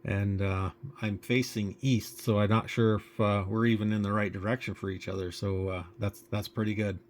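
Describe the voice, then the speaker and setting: Guy with a lowish voice doing casual ham radio chat, bit of noise behind him. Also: level tone, moderate pace. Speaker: amateur radio operator.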